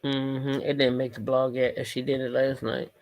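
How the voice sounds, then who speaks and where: A woman's voice: very deep voice, little pitch movement, moderate pace, a little mellow. An American woman doing casual live gossip commentary as host, sassy and emphatic, often repeating phrases for emphasis.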